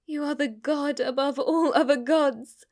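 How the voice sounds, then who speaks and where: An obsessive demon maid, played by a woman in an intimate ASMR roleplay. She is flirty and submissive, speaking to her master with reverent, fanatical devotion and slipping at times into breathy whispers. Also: high-pitched, steady tone, measured pace, a little mellow. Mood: fanatical, worshipful.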